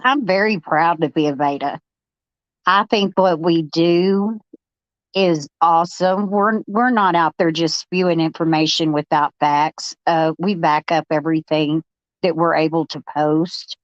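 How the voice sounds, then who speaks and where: A woman's voice: fairly low pitch, measured pace, no echo, dull audio. A woman panelist on a live discussion, talking casually and reading out viewer comments.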